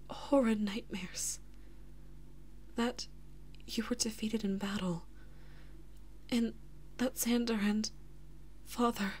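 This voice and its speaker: A woman playing a devoted, anxious big-sister princess and lover in an intimate audio roleplay, speaking softly and haltingly with breathy, emotional whispers. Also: on the high side, slight background noise. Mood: anguished, haunted.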